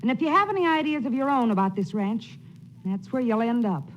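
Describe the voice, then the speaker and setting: An actress playing a frank, feisty young ranch owner in a 1940s radio western. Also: female voice, fairly high pitch, expressive, fairly quick, natural voice. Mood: brisk, wary. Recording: muffled 1940s radio recording, faint noise.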